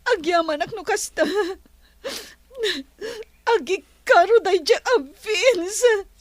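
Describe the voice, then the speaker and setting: A woman's voice: extremely high pitch, animated, slow pace, dry recording, exaggerated voice. A woman playing a tender, emotional young lady of a mansion in a radio drama. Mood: tearful relief.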